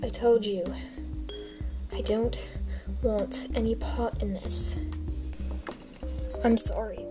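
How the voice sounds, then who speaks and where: A woman voicing a withdrawn, stubborn young male cat who talks low and often in a whisper, in a homemade toy-figure drama. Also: steady tone, slow, pauses often. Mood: refusing. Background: background music.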